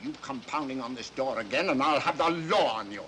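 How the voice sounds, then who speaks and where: A man's voice: medium pitch, animated, a little fast, sharp tone. An actor playing an irascible male professor, prickly and indignant, in old mystery film style. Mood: irritated. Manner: snappish, indignant. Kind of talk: 1940s mystery film acting. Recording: noisy vintage film soundtrack.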